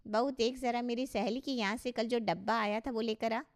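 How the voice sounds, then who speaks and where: A woman's voice: a little high, steady tone, brisk, close to an everyday voice. A woman playing a nosy, blunt older mother-in-law in a cartoon story, inquisitive and bossy. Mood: dismissive. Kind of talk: Hindi cartoon story dialogue.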